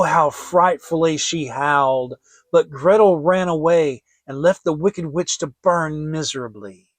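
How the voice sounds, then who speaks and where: A man reading a fairy tale as a storyteller, in a theatrical, expressive scripted style. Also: on the high side, no echo. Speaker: storyteller, audiobook reader.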